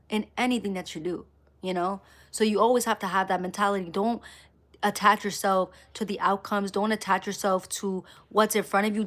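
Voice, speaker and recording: Female live streamer, medium-pitched, casual motivational delivery, emphatic with repeated phrases. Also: a bit bright. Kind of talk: casual motivational livestream talk to viewers.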